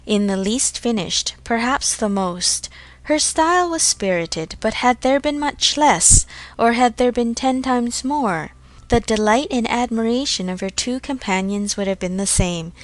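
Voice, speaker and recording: A woman reads literary fiction as an audiobook narrator. Her voice sits fairly high, with measured and formal phrasing. The sound is dry, with no room around it. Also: dry recording.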